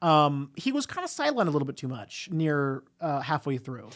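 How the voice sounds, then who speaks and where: A man with a slightly high voice talks as a podcast co-host about movies. He is informal and a little halting, working out his phrasing as he goes. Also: big pitch swings, a bit bright. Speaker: podcast co-host and film reviewer. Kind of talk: casual movie discussion.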